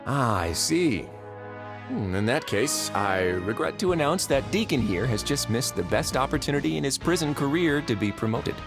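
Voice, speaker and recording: An actor playing a calm, courteous young duke who runs a prison, speaking with polished, gently wry politeness in video game dialogue. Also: male voice, medium pitch, moderate pace, music in the background, lightly acted.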